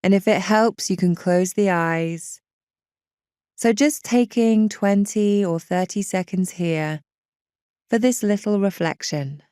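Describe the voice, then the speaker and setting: A woman guiding a meditation in a gentle, reassuring, unhurried manner. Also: mid-range voice, smooth, no room sound, clean studio sound. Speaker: meditation guide. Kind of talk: guided meditation narration.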